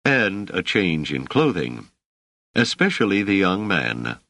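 A man's voice: deep voice, big pitch swings, slow pace, bright, forceful tone, no echo, exaggerated voice. A man voicing a plump, merry, middle-aged motherly woman in an audiobook, gracious and politely chatty. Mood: gracious welcome.

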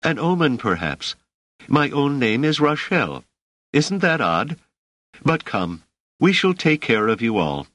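A genial, motherly middle-aged lady of a storybook played by a male reader. The pitch is lifted and lilts freely, with gracious, chatty courtesy. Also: very animated, unhurried, fairly bright tone, no room sound. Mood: pleasantly amused. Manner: gracious, sing-song, politely chatty. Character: plump, merry, motherly middle-aged woman, genial hostess.

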